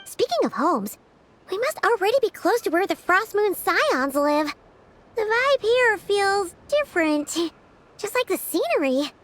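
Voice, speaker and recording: Squeaky, tiny fairy companion. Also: female voice, a little slow, full character voice. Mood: curious. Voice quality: squeaky, childlike. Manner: chatty, expressive, exclaiming. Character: tiny chirpy childlike female fairy companion, bubbly and curious. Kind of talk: video game voice acting.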